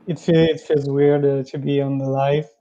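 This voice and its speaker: A man with a French accent calling into a livestream, hesitant and full of pauses as he asks his question. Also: mid-range voice, little pitch movement, dark tone, lo-fi sound. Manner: hesitant, many ums and pauses. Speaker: caller. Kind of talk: casual call-in question.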